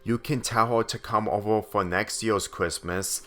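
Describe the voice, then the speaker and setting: A man's voice: on the low side, steady tone, measured pace, a bit bright. A man voicing a hopeful, encouraging young son in a story reading, in an earnest manner.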